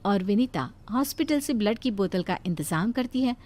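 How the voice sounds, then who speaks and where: Female story narrator, medium pitch. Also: expressive, moderate pace, darkish tone.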